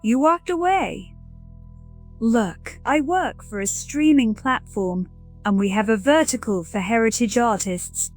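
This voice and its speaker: Woman playing an eager young professional, chatty and bright-mannered. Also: fairly high pitch, lively intonation, soft background music. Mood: probing, then pitching. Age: young adult. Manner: chatty, brisk sales patter. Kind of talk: scripted audio drama dialogue.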